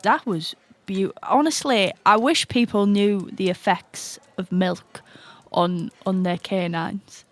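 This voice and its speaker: A woman's voice: medium pitch, slow, no echo, lightly acted. A woman playing an elderly, tooth-obsessed, self-righteous dentist in an improvised comedy role-play, with a theatrical, emphatic delivery. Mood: creepily enraptured.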